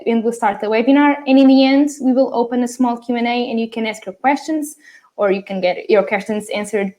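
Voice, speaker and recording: Woman hosting a webinar, a bit high voice, chatty, reads out the chat. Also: dark tone. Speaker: webinar host. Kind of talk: friendly live webinar hosting.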